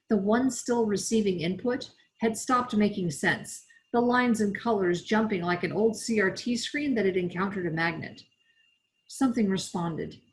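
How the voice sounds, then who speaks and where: A woman's voice: mid-range voice, rough recording. A woman reading as an author, in the style of scripted audiobook narration. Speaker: author reading from own novel.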